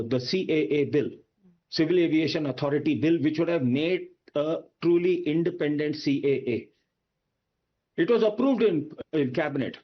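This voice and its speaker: A man with an Indian accent speaks as an expert panelist on a news debate, pressing his points emphatically. His voice is a bit high for a man, and the audio is somewhat muffled and lo-fi. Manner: emphatic, argumentative, with rhetorical questions. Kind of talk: spoken analysis on a news debate.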